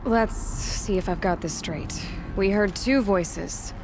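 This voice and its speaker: An actress playing a young, skeptical huntress in a video game, speaking in a direct, dry, matter-of-fact way. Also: female voice, mid-range voice, takes it slowly, background noise. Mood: determined. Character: young, plainspoken, skeptical huntress, determined and wry.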